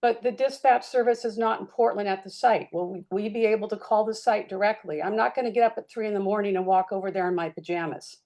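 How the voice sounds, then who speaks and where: A woman neighbor keeps pressing with follow-up questions at a meeting. Her voice is mellow and her tone is insistent. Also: medium pitch, fast, hardly any pauses, rough recording.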